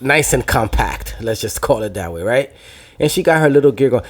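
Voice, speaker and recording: A man's voice: medium pitch, expressive, brisk, husky. A young man with a Dominican American accent from New Jersey, hosting a podcast in loose, profane banter that drops into Spanish. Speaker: podcast host. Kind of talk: casual banter.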